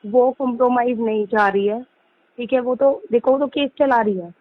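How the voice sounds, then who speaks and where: Woman lawyer, higher voice, insistent explainer on a phone call. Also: moderate pace. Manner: insistent, explanatory, peppers Hindi with English legal terms. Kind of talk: persuasive phone conversation. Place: recorded phone or video call. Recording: muffled lo-fi recorded call.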